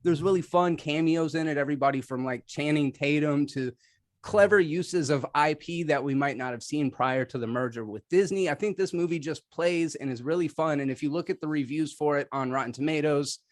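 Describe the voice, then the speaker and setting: A man with a fairly high voice argues about films as a debater. His delivery is conversational and persuasive, recorded dry and close. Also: brisk, no echo. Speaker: debater on a movie show. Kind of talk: conversational persuasive film argument.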